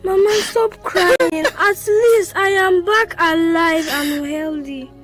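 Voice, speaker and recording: A woman's voice: very high-pitched, fairly even intonation, takes it slowly, a bit bright, heavily acted. A woman playing a young survivor daughter in an emotional radio drama. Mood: tearful relief. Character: young trafficking survivor daughter, bitter then tearfully relieved. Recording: music under the voice.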